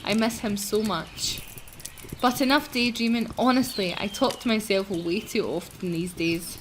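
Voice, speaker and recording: Woman playing young servant heroine, wistful, musing, expressive, visual novel, mid pitch, faint background noise. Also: moderate pace, lightly acted. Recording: noisy, game birdsong behind the voice.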